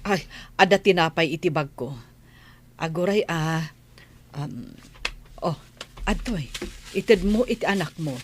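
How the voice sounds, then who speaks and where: A woman's voice: fairly low pitch, a little slow, pauses often, slight background noise, lightly acted. An actress playing a kindly, motherly and generous older village woman in an Ilocano radio drama. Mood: kindly, concerned.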